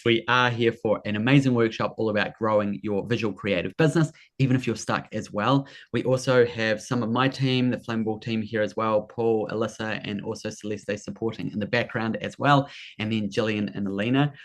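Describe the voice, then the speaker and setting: An upbeat man hosting a live workshop over a video call, his voice sitting a little low. He keeps the energy bright, greeting people and repeating friendly prompts as they join. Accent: non-American. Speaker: workshop host. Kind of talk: enthusiastic live webinar hosting.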